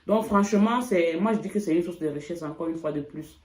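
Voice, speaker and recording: A woman's voice: fairly low pitch, darkish tone. Woman in an echoey room. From Cameroon. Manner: reflective, repeats "franchement", frequent pauses. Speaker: vlogger. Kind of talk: casual couple chat.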